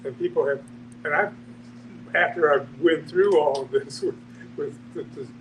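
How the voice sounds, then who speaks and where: Higher-voiced man, halting, off the mic. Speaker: discussion participant. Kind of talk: informal group discussion. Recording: distant mic with noisy hum.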